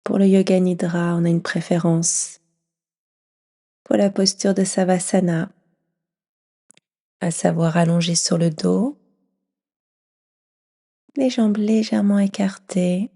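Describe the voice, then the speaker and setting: Husky, slow woman guiding meditation. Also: fairly low pitch, fairly even intonation. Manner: soft, soothing, often trailing into breathy whisper. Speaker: yoga nidra teacher guiding a session. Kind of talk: guided meditation.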